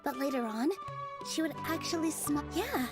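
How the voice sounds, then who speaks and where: An actress playing a gentle, sincere young theater dancer in an anime-style game, speaking softly and earnestly. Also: female voice, high voice, lively intonation, normal speed. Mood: warm, reflective. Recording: music behind the voice. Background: game music.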